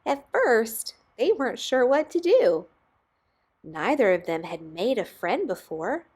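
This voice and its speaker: A woman reading a children's picture book aloud expressively, with dramatic pauses and emphasis on key words. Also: a little high, sing-song, a little mellow, slightly rough. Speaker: storybook reader.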